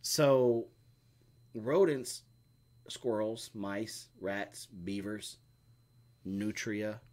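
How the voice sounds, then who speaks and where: A man's voice: on the low side, extremely slow, darkish tone. A middle-aged man co-hosting a homestead live stream, chatting and answering questions in a rambling, explanatory way with lots of fillers. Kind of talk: casual chat and Q&A.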